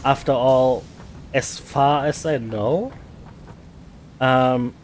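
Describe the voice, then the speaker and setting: A man from Germany with a German accent, chatting casually while playing a game, often repeating words and pausing with fillers. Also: medium pitch, a little hiss or hum. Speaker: gaming streamer. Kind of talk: casual gameplay chat.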